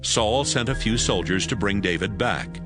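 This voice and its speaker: A man's voice: on the low side, normal speed, over music. A man narrating a dramatized scripture audiobook.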